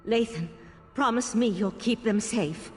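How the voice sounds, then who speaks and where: An actress voicing an earnest, worried mage in a video game, husky and pleading. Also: female voice, on the high side, a little slow, a little mellow, natural voice. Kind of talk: video game character acting. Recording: hall reverb with music.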